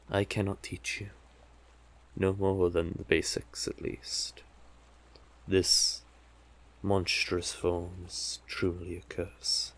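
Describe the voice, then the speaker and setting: A man voicing a cunning, monstrous sea witch who coaxes silkily in slow, theatrical endearments, in an intimate audio roleplay with a gravelly, husky voice. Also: low-pitched, full character voice, low-quality recording.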